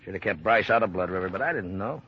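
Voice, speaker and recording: An actor playing a blunt, plain-spoken small-town sheriff, stern and burdened, in a 1950s radio drama. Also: male voice, low voice, animated, fast. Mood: weary, regretful. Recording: muffled, hissy vintage radio recording.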